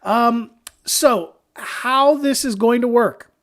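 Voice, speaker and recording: A hoarse-voiced man leads a relaxed software webinar from his home, speaking in an easygoing, upbeat way. He addresses his viewers directly, like a friendly trainer on a livestream. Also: very high-pitched, takes it slowly. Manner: chatty and upbeat, talks straight to viewers. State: hoarse from allergies. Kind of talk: casual live-streamed tutorial.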